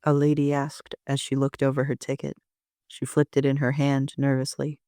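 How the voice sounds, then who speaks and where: A man's voice: medium pitch, completely flat delivery, normal speed, dark tone, clean tone, dry recording, studio quality. A man reading scripted first-person story narration as an amateur author.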